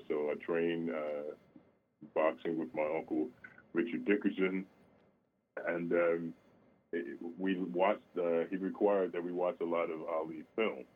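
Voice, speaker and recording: A very deep-voiced man speaks over a thin, phone-like line. He is an opera singer being interviewed on the radio. His answers are halting and full of fillers and restarts.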